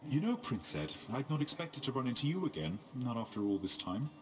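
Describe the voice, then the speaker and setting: An actor playing a weary, friendly, lonely condemned man in a video game, with a gentle, wry, courteous manner. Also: medium pitch, big pitch swings, fairly quick, natural voice. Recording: game music behind.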